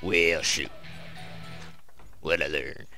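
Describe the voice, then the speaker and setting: A man with a gravelly, very deep voice, casually talking to himself while playing a game and sometimes reading aloud. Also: fairly even intonation, drawn-out words, bright, forceful tone. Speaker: gameplay commentator. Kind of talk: casual let's play commentary. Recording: game music behind. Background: game music.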